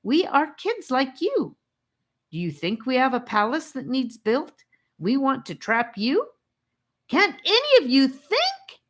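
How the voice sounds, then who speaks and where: A woman voicing a defiant boy captive who shouts and demands answers. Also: extremely high pitch, big pitch swings, measured pace, voice pushed up, heavily acted. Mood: angry.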